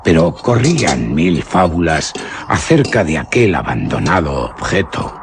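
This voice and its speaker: A man with a deep, low-pitched voice narrates a gothic horror tale. His delivery is solemn and theatrical, in the literary manner of a radio drama storyteller. Faint music plays behind him. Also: big pitch swings, unhurried.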